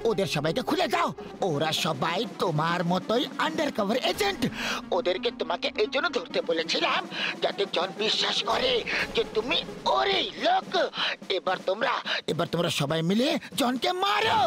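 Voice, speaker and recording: A man voicing a clever, quick-thinking cartoon sidekick with a shrewd, emphatic delivery. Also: extremely high pitch, huge pitch swings, full character voice. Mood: cunning, commanding. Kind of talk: animated cartoon dubbing. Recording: music behind the voice.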